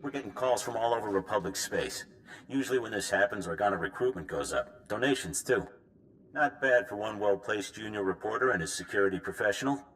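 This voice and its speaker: An actor playing an upbeat, businesslike male news chief in a video game, with a brisk manner. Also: on the low side, fairly bright tone, lightly acted, poor audio.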